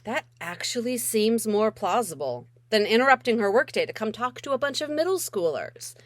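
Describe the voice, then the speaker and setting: Expressive woman reading a novel aloud. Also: a little high, normal speed, dry recording. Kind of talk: expressive first-person audiobook narration of a middle grade novel.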